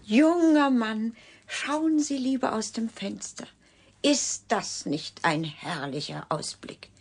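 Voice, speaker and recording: A woman's voice: a little high, expressive, slow, darkish tone, exaggerated voice. A woman playing a cool, sardonic clinic doctor in a German radio drama, speaking in a clipped, dry, condescending way. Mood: sardonic, brisk.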